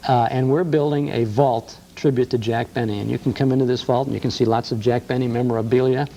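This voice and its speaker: A man's voice: medium pitch, fairly even intonation, fast talker, mellow, a little hiss or hum. A middle-aged man, a radio host chatting enthusiastically as an interview guest and telling stories with many fillers. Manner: enthusiastic, storytelling, frequent uh fillers. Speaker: radio host and museum co-founder. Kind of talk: casual interview chat.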